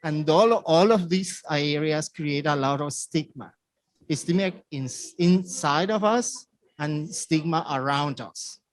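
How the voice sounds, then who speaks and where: A man from Venezuela with a Venezuelan Spanish accent gives a conference presentation in halting, simply phrased second-language English. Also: fairly high pitch, no room sound. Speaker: community presenter.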